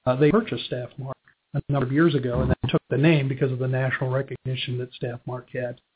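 A man's voice: medium pitch, level tone, fast talker, noisy background. A middle-aged American man giving conversational answers as a business guest in an interview. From Wisconsin, United States. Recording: muffled narrow phone-like audio.

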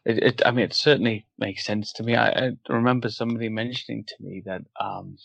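A man who grew up in Wales, without a Welsh accent, talking as a guest in a casual interview and pausing thoughtfully to find his words. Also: on the low side, steady tone, vocal fry, fairly bright tone, no echo. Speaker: guest author. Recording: dull, dry lo-fi audio.

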